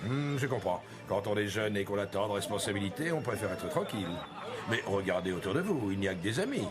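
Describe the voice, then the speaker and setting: A male actor as a low-voiced cartoon innkeeper, middle-aged and genial, with a lively, swinging delivery. He teases familiarly and keeps a canny edge, with music clearly playing behind him. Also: brisk, natural voice. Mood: friendly, probing. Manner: jovial, familiar, teasing. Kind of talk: French cartoon dub acting.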